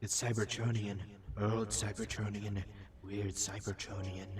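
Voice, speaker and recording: A man's voice: fairly low pitch, level tone, measured pace, crowd noise, lightly acted. A man voicing a nervous, flippant little robot scout in a comic dub, hesitant and informal in his talk. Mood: hesitant, earnest. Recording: music behind the voice.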